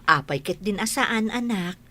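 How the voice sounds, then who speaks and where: A woman playing a gentle mother and teacher in a radio drama, speaking in an encouraging way. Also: medium pitch, lively intonation, moderate pace.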